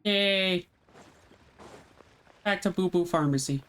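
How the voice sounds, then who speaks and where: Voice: medium pitch, slow pace, a bit bright. A game streamer giving casual, offhand, sweary reactions while playing. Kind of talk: casual gameplay commentary.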